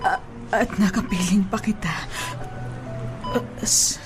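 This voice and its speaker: A woman's voice: mid-range voice, music in the background, close to an everyday voice. A woman playing a frail elderly dying mother in a radio drama, tender and grateful, in a weak, faltering voice. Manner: halting, broken phrases.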